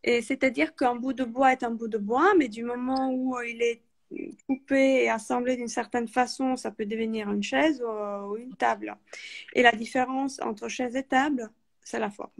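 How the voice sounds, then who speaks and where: Gravelly, higher-pitched woman speaking hesitantly. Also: steady tone. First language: not French. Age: adult with a daughter. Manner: thoughtful, halting, many fillers and restarts. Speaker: guest. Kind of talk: live video call interview. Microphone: video call.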